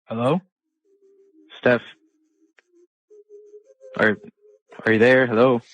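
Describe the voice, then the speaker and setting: A man's voice: mid-range voice, little pitch movement, very slow, no echo. Man on a thin, tinny phone line. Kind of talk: casual, halting phone talk.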